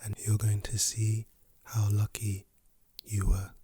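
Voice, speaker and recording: A man with a lost, hoarse voice, speaking softly and whispering in a playful, teasing way as an ASMR creator. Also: fairly low pitch, flat delivery, slow pace, noisy background. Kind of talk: soft-spoken and whispered ASMR.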